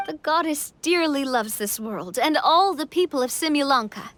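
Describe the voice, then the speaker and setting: Young fantasy game monarch, female: high register, kind, composed, earnest, faint background noise. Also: animated. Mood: gentle, earnest. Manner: earnest, reassuring, measured speech. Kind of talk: English-dubbed game cutscene acting.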